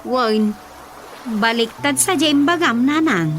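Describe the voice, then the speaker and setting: A woman's voice: high-pitched, takes it slowly. A woman with a Filipino accent playing an inquisitive, puzzled daughter in a rural radio comedy drama. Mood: curious. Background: music.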